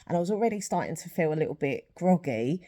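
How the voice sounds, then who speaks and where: Chatty woman with a low, mellow voice. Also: expressive, quick pace. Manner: chatty, rambling with fillers. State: slightly sniffly, sore throat. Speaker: vlogger. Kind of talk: casual vlog chat.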